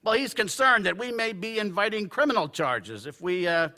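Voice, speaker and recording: Bright, high-pitched newspaper editor. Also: male voice, lively intonation, moderate pace, heavily acted. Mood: measured. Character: decisive, combative newspaper editor in charge. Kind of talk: live radio play acting.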